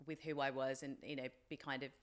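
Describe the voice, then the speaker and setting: A woman in her forties with a British accent and a low-pitched voice. She speaks as a podcast guest, reflective and informal. Her phrasing is hesitant, circling through small filler phrases as she explains herself. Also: level tone, fast. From England, from age three. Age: 40s. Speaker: podcast guest, life coach. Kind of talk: reflective personal interview.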